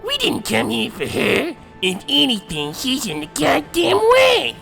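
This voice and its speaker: A woman's voice: sits high, wildly swooping pitch, a little slow, creaky, fairly bright tone, soft background music, full character voice. A woman playing a haughty, impatient female fighter in an anime fan drama, sneering, crude and taunting. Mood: irritated.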